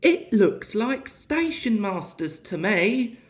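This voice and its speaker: A woman's voice: medium pitch, very animated, slow pace. A woman voicing an eager little male tank engine in a storybook. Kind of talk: storybook character voice.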